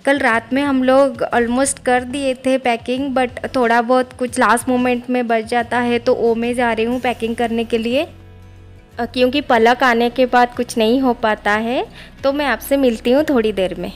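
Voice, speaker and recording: A woman in her thirties chatting casually in a family vlog, mixing in English words, filmed on a phone held at arm's length. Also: high voice. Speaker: family vlogger. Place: home and on the road, train station.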